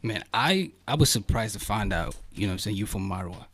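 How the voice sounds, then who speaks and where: A man's voice: lowish voice, animated, brisk, a bit bright. A man hosting a podcast, chatting in a laid-back way with many casual fillers. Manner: laid-back, lots of "you know what I'm saying". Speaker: podcast host. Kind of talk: casual chat.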